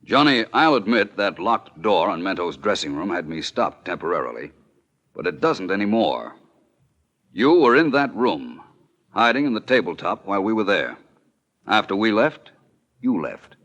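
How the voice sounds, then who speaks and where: A low, widely swooping male voice as a commanding 1940s radio detective. He lays out his case with assured, deliberate confidence. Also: big pitch swings, fairly bright tone, dull audio. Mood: assured, accusing. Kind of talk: 1940s radio drama acting.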